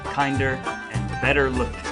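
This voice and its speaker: A man's voice: mid-range voice, completely flat delivery, moderate pace, loud music behind the voice. A man hosting a podcast in a scripted yet conversational style, with playfully grandiose, wordy phrasing. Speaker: podcast host.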